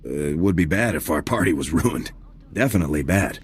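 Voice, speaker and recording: A man playing a dutiful, cool lieutenant in a video game, speaking in a brisk, dry way. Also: extremely low pitch, animated, husky, full character voice. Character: male soldier lieutenant, dutiful, cool, dryly witty. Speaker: soldier.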